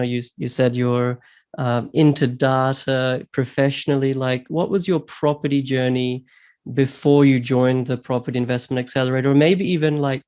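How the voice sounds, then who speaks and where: A man's voice: mid-range voice, a little mellow. Upbeat man hosting an interview. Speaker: interview host, property investment coach.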